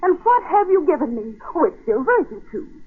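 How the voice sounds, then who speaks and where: An imperious society lady in a vintage radio play. Her voice is high and swoops with indignation. She complains in an affected, snobbish manner, through a narrow, muffled old broadcast sound. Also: very animated, a little fast. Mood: indignant. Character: haughty, indignant grand lady customer, irate as a turkey. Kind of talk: 1940s radio acting.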